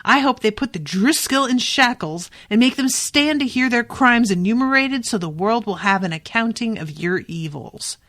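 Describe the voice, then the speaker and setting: A woman's voice: medium pitch, animated, moderate pace, a bit bright, close to an everyday voice. Defiant young magic-user from a novel, read by a woman: accusatory, impassioned, scornful. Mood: scornful rage. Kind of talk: audiobook-style novel reading.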